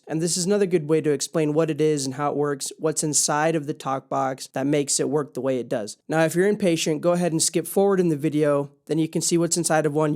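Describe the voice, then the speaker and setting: Guy doing a chill explainer vlog, kinda higher voice, plain and informal. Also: darkish tone. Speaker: video host. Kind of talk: casual hands-on explainer vlog.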